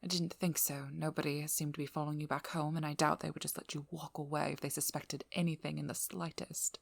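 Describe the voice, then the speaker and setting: A woman playing a devious, vigilant vampire hunter in a scripted ASMR roleplay, teasing and controlling, with a breathy voice that drops into whispers. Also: deep voice, flat delivery, a little fast, no echo. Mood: cool, probing. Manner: teasing, playful, coolly controlling. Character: devious, vigilant female vampire hunter, flirty then businesslike. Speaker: audio roleplay performer.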